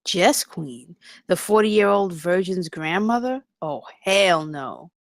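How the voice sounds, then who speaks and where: A woman at a live table read playing fierce, catty, confrontational women in a campus comedy, speaking deliberately. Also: lowish voice, expressive, dry recording, studio quality. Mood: scornful.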